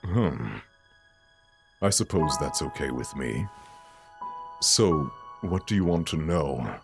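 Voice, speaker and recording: A man's voice: rumbling low, expressive, creaky, music in the background, full character voice. An actor playing a proud, hot-tempered but tender king of evil in a theatrical comic dub. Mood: calm. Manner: theatrical, slow and deliberate. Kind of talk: dramatic comic-dub voice acting.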